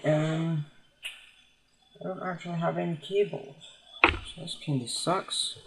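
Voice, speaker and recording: A man giving casual, unscripted hands-on commentary as he tinkers, hesitantly thinking aloud. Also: a little high. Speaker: hobbyist restorer hosting a video. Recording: noisy home recording with clicks.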